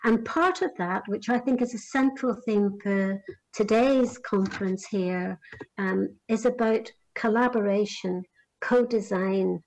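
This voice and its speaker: A middle-aged woman from Northern Ireland addresses a conference, speaking at a measured pace. She often pauses on small fillers and starts her phrases over. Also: mid-range voice, low-quality recording. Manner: measured, with frequent fillers and restarts. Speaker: conference speaker on career guidance.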